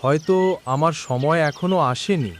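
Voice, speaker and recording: An actor playing a humble, honest, hardworking blacksmith in a cartoon, speaking earnestly and politely. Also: male voice, fairly high pitch, moderate pace, slight background noise, close to an everyday voice. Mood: calm, polite. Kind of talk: cartoon voice acting.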